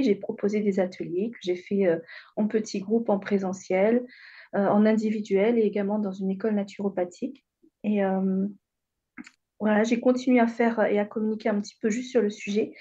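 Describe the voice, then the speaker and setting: A woman's voice: mid-range voice, mellow, husky, dull audio. A woman in her thirties from Réunion, telling her personal story as a radio guest, hesitant with many fillers. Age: 30s.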